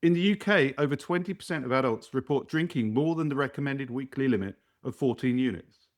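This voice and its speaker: A man's voice: medium pitch, animated, a little fast. A man hosting a podcast, reading a scripted introduction and then asking his guest questions. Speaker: podcast host.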